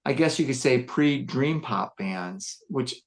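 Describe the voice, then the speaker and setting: A man with a gruff voice, a musician chatting casually on a podcast, reflective and rambling with many fillers and restarts. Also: mid-range voice, animated, measured pace. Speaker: musician discussing his band.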